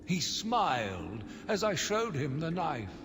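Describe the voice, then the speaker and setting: An actor playing a zealous, deluded asylum warden who delivers a theatrical, deliberate diary monologue in a video game. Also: male voice, on the high side, big pitch swings. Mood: menacing resolve. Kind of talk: dramatic first-person game monologue. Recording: long reverb, clearly noisy ambient backdrop.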